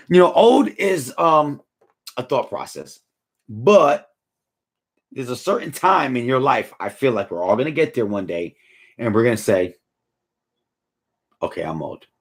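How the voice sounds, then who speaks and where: Casual live-stream host, man, 40s, husky and tired, lively swings in pitch, slangy. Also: a little slow, pauses often. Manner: laid-back slangy, drifts from mumbling to excited. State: tired, yawning. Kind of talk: casual live chat reading comments.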